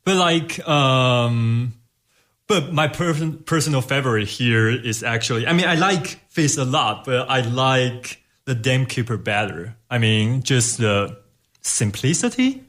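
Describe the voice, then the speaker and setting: A man's voice: mid-range voice, animated, measured pace, a bit bright. A man on a panel giving his opinions in a casual film discussion, in a tentative, hedging way.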